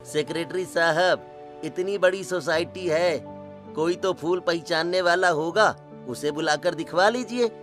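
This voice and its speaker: A man voices a proud street flower seller in a cartoon story, pushy in manner. His pitch is very high, with faint music beneath. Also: measured pace, a bit bright. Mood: confident pitch. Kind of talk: animated story dialogue.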